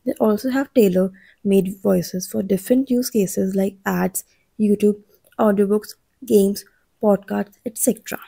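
A woman reads a scripted explainer as a channel narrator, in a measured way that sets out lists item by item. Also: medium pitch, fairly even intonation, creaky, mellow, husky, dry recording.

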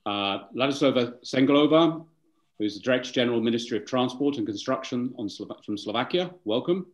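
A man's voice: mid-range voice, lively intonation, brisk, lo-fi sound. A man moderating a webinar on a video call, giving formal welcomes and introductions, speaking with some fillers and restarts.

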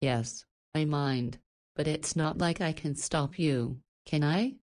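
A woman's voice: sits low, slow pace, darkish tone. An actress voicing a teasing, confident, protective woman in a visual novel, with a knowing and forthright manner. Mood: stern, protective.

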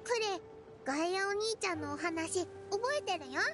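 A woman's voice: extremely high pitch, expressive, normal speed. A woman voicing a small, innocent, eager little girl in an anime game, with childish, guileless phrasing. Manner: childish, guileless, refers to herself by name. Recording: very loud game music behind.

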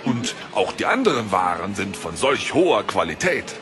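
A man's voice: on the low side, very animated, moderate pace, over music. A man playing an ingratiating market baker with eager sales patter in a German audio drama.

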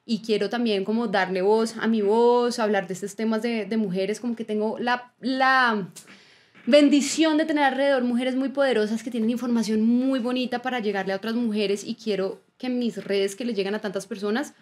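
A woman, an actress speaking as a podcast guest in a studio on a boom-arm microphone, talking earnestly with many fillers. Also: steady tone, moderate pace. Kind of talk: casual podcast chat. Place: indoor studio with blue backdrop. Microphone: studio mic on boom arm.

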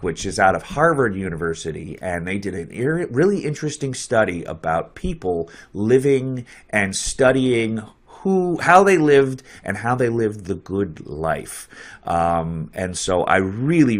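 A man with a cold, talking in a fairly low voice as he hosts a small online broadcast. He chats loosely and jokes with his viewers. He speaks into a desk mic in a small office. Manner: loose, chatty, joking. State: has a cold. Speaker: host. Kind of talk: casual live-stream hosting. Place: small office with a map on the wall. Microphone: headphones with a desk mic.

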